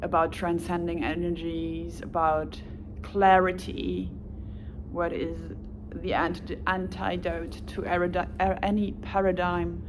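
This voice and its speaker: German-accented woman, lowish voice, slow rambling self-introduction. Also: noisy background. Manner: rambling with self-corrections and light laughs. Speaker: volunteer applicant. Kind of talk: casual self-presentation.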